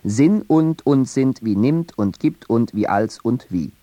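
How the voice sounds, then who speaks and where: A man reading a scripted radio essay on poetry in a measured, deliberate way. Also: mid-range voice, animated, dark tone, no room sound. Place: radio studio.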